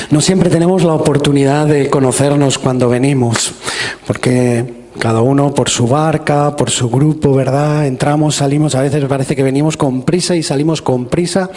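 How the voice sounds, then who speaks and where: Gentle, encouraging church interviewer, man. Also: fairly high pitch. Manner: gentle, encouraging questions that recap the guest's story.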